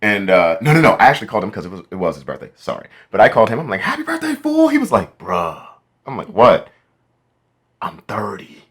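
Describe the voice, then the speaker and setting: A man's voice: fairly low pitch, huge pitch swings, brisk. A man in his thirties hosting a podcast, chatting casually and joking, often laughing and acting out what people said. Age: 30s. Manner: joking, laughs often, acts out quoted voices. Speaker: podcast host. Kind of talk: casual chat.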